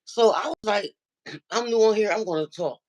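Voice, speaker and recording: A man with a deep, nasal voice who calls into a livestream and tells a story, acting out what other people said. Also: moderate pace, low-quality recording. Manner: animated storyteller, acts out quoted dialogue. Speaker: regular viewer calling into a livestream. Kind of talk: casual chat, retelling an online argument.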